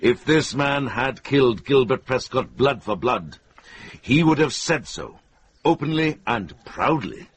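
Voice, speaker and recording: An actor playing a wise Welsh monk and sleuth in a radio drama, speaking deliberately and persuasively as a steady advocate. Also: male voice, medium pitch, slightly rough. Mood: earnest, persuasive.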